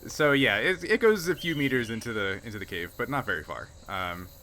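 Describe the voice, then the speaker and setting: Bright-voiced male game master. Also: mid-range voice, animated, fairly quick, slight background noise. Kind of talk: casual tabletop game narration and rules explanation.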